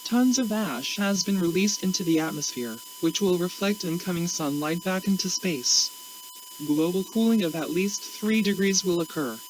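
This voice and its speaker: A man playing a grave government spokesman, reading a formal, solemn scripted emergency address with measured phrasing over faint hum and hiss. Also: sits high, close to an everyday voice, low-quality recording.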